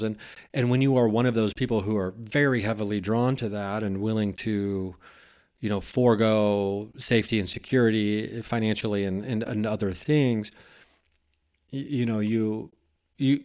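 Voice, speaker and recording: A man hosting a podcast in a casual, reflective monologue, musing haltingly and stretching out phrases for emphasis. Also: fairly low pitch, darkish tone. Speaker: podcast host and stand-up comedian.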